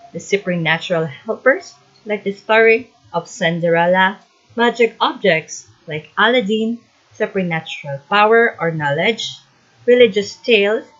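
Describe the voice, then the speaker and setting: A woman student presenter reads out a scripted lesson on a slightly dull recording. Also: fairly low pitch.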